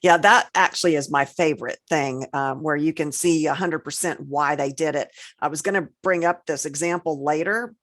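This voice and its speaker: Female co-host, deep-pitched for a woman, conversational and emphatic, close dry recording. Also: normal speed, few pauses, a bit bright, no echo. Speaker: podcast co-host. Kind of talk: casual chat, recounting film examples.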